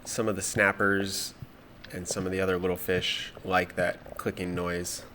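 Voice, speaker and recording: A man with a cold and a stuffed-up nose, narrating his spearfishing dive footage in a relaxed, matter-of-fact way. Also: low-pitched, a little slow, slight background noise. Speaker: spearfishing vlogger.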